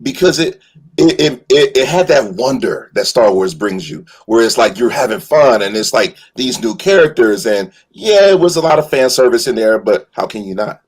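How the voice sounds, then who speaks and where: A man's voice: medium pitch, expressive, moderate pace, breathy edge. A man in a casual panel chat about films, colloquial and opinionated, often repeating phrases for emphasis.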